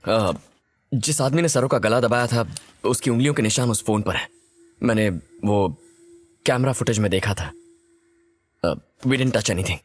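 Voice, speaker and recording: A man's voice: lowish voice, unhurried, long pauses. A man playing a protective, reassuring partner in a thriller film. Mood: calm reassuring. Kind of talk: film drama acting.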